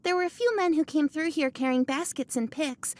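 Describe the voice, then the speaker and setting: A woman's voice: high-pitched, fairly quick, a little mellow, natural voice. A woman voicing a chatty young village woman in a video game, gossiping about what she saw. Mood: recounting. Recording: game audio with music underneath.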